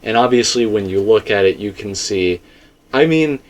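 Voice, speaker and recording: A man's voice: fairly low pitch, expressive, normal speed. A man hosting a casual, speculative discussion, talking in a rambling, stop-and-start way and often correcting himself. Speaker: host of a game theory discussion.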